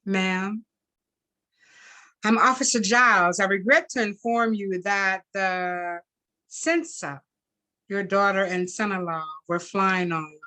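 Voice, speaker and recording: A woman reader takes the part of a policeman in a storybook reading. He is formal and regretful, delivering his words slowly and with measured care. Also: medium pitch, sharp tone.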